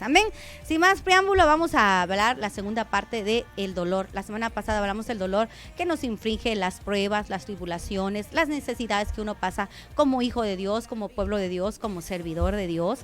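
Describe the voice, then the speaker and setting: Woman pastor preaching on the radio. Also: medium pitch. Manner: conversational preaching, addresses listeners as brother. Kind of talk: radio sermon.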